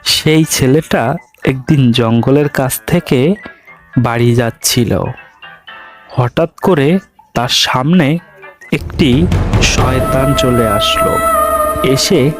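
A man's voice: medium pitch, slow pace, pauses often, over music. A man narrating a scripted cartoon fairy tale as a storyteller.

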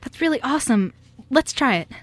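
A woman's voice: high voice, expressive, fairly quick, no echo. A woman acting a timid, eager seven-year-old girl in an amateur radio play, with a hesitant, stammering manner. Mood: eager, delighted.

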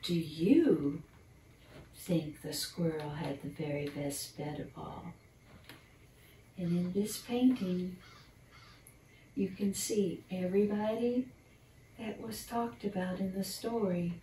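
Slow, mellow older woman reading a bedtime story. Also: on the low side. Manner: unhurried, expressive, addressing young listeners. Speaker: storyteller reading a picture book. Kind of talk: gentle bedtime picture-book reading with commentary. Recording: roomy, distant mic, faint background noise.

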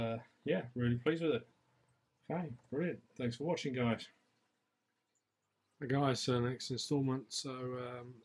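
A man's voice: medium pitch, a little hiss or hum. A man giving a casual, hesitant, unscripted hands-on update as a hobbyist vlogger, on an amateur phone video.

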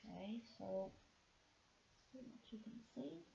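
A woman, hoarse and gravelly from a sinus infection, chatting softly to herself as she decorates a planner at a table. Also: medium pitch, animated, slow pace, a little hiss or hum. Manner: soft-spoken, musing aloud as she works. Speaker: planner vlogger. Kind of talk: casual narration while decorating. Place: indoors at a table, camera pointing down at her hands. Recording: slightly dull, lo-fi camera audio.

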